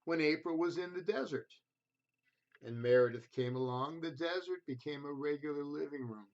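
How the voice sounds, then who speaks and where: Male storybook reader for children: expressive, deliberate delivery that addresses the listener, on a slightly muffled low-fi recording. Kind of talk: children's read-aloud storytelling.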